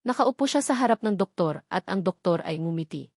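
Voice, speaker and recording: A woman's voice: on the low side, expressive, brisk, a little mellow, no echo, clean studio sound. A woman narrating a scripted dramatic story.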